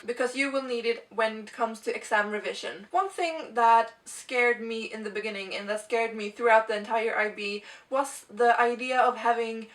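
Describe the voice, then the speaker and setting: A woman's voice: fairly high pitch, a bit bright, far from the mic. A young woman from Sweden, a native Swedish speaker, chatting earnestly to camera and sharing advice in a casual vlog. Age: young adult. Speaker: vlogger.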